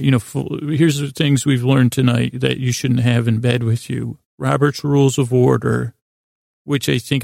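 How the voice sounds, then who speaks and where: A man hosting a bedtime podcast in a creaky, gravelly voice, rambling and halting, repeating himself and wandering into absurd asides. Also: medium pitch, darkish tone, dry recording, clean studio sound. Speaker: podcast host. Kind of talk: rambling bedtime monologue to help listeners sleep.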